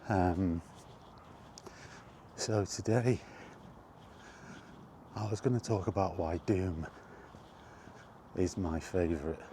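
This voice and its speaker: A middle-aged man giving a casual, rambling chat as he walks outdoors, in an unhurried, offhand manner. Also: low voice, fairly even intonation, dark tone. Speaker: video game vlogger. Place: outdoors, walking toward a park. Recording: outdoor walking recording with background noise.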